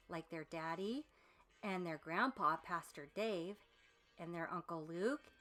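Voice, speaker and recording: A woman reading a storybook aloud for young children, with deliberate, dramatic phrasing and drawn-out emphasis on key words. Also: on the low side, fairly bright tone. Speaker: children's storybook reader and presenter.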